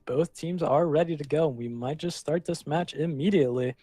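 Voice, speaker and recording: A male esports caster with a voice a touch higher than most men's, calling plays over faint background music. Between the action he drifts into rambling, self-mocking asides. He sounds like he is broadcasting from a home streaming setup. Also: moderate pace, dark tone. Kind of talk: live game commentary.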